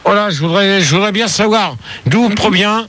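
A man hosting a live radio phone-in debate, halting and muddled, easily exasperated as he calls his guests to order. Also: sits high, fairly bright tone, no room sound.

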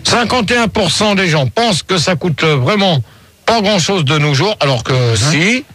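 A man's voice: high-pitched, expressive, moderate pace, a bit bright, husky. A man hosting a comic radio show, reading out absurd poll results in a mock-serious, crude manner. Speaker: radio host.

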